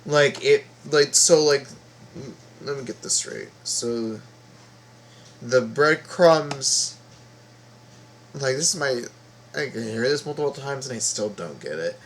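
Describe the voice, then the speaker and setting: A man giving casual reaction commentary as a video host, halting and puzzled as he restarts his thoughts. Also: mid-range voice, lively intonation. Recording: faint background noise.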